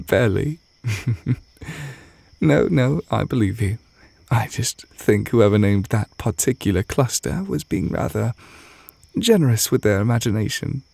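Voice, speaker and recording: A man acting a gentle, adoring witch boyfriend in an intimate ASMR roleplay, soft-spoken and tender with a light teasing manner. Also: on the low side, expressive, measured pace, creaky, husky, dry recording, heavily acted. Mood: playfully teasing.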